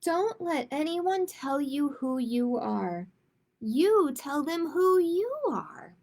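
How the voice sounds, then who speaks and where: A woman hosting a children's storytime, reading a picture book aloud and chatting casually, with an expressive, emphatic delivery. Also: high voice, takes it slowly.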